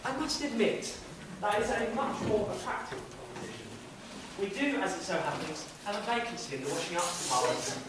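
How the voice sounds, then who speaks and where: A haughty, dismissive innkeeper matron from a pantomime , acted by a man. The delivery is grand and very animated. It sounds distant, with a lot of echo and noise. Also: sits high, a little fast. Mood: brisk, sly. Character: bossy, haughty middle-aged female innkeeper, pantomime dame. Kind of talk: pantomime stage acting. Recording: echoey school stage, distant noisy mic.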